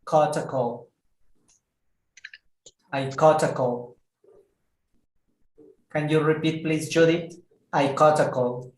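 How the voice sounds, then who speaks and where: Male ESL teacher, slow, careful delivery, repeats key words; echoey room. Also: fairly high pitch, fairly even intonation. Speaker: English teacher. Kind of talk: online English lesson.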